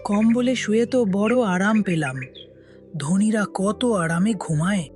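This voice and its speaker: A woman's voice: medium pitch, unhurried, a little mellow, faint music behind, close to an everyday voice. A woman voicing a poor, humble village beggar in a cartoon fairy tale, with a wistful manner. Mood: contented. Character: poor, humble village beggar longing for rich folks' comforts.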